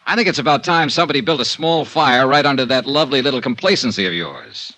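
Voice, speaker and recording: An actor playing a wry, cocky American insurance investigator in a noir radio drama of the 1950s. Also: male voice, mid-range voice, expressive, quick pace, sharp tone, rough recording. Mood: sardonic, flirtatious. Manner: wisecracking, flirtatious, sardonic.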